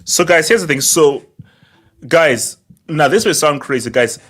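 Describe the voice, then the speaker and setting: A man's voice: a little high, lively intonation, unhurried, fairly bright tone. A man hosting a casual football discussion, bantering and often repeating words, with some stuttering. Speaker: host of a football discussion show. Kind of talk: casual animated group banter.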